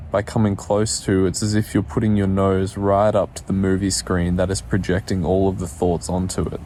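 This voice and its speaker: A man leading a guided meditation in a soothing, unhurried way, leaving pauses between short instructions. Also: low-pitched, mellow, slight background noise.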